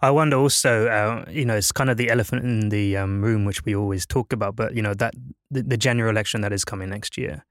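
A man's voice: on the low side, quick pace, no room sound, studio quality. A man hosting a podcast, asking questions in a loose, conversational way with frequent fillers. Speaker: podcast host. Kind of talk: podcast discussion.

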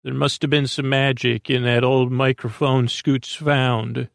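Man, gravelly creaky voice, lulling podcast host, halting meandering delivery, close dry sound. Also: medium pitch, level tone, no room sound. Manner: meandering, hesitant, halting speech full of tangents. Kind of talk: rambling bedtime sleep-podcast monologue.

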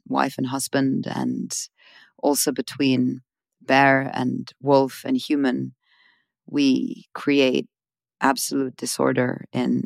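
Earnest woman host with a flat, gravelly voice. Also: a bass voice, no echo, studio quality. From Sweden or Switzerland. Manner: earnest, searching, long winding sentences. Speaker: host, interviewer. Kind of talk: reflective interview talk.

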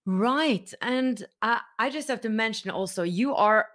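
A woman's voice: fairly high pitch, measured pace, a bit bright. A woman hosting an interview, a singer herself, speaking eagerly and affirming her guest. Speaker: host and singer. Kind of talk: interview conversation.

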